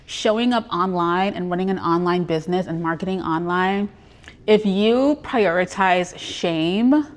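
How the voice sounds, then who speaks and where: A woman from Trinidad whose accent is mixed with Brooklyn and Texas, a business coach giving an earnest, chatty motivational talk on a live stream and greeting viewers as she goes. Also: lowish voice.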